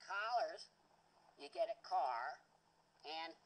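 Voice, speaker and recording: A man with an unusually high voice walks through his homemade device. He rambles, loses his thread and fills gaps with "uh." His pitch swings widely from line to line. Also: lively intonation, slow, a little hiss or hum, low-quality recording. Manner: rambling, hesitant, many fillers and restarts. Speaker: home inventor demonstrating a device. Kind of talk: rambling hands-on demonstration.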